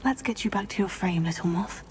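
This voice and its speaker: A woman's voice: mid-range voice, a little fast, darkish tone, a little hiss or hum, natural voice. An actress playing a polite, self-assured teenage witch in a video game, speaking in a composed, understated manner. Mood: curious. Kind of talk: video game protagonist dialogue.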